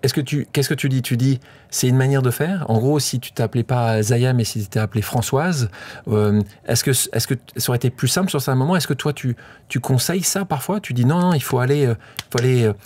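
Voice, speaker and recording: A man hosting a podcast, asking long, halting questions that search for words. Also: medium pitch, darkish tone. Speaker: podcast host. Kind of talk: casual interview talk.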